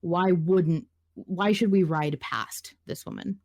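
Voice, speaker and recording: A woman's voice: on the low side, slow, a little mellow. A woman hosting a casual podcast discussion, thinking aloud in a conversational way and sometimes reading out comments. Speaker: podcast host.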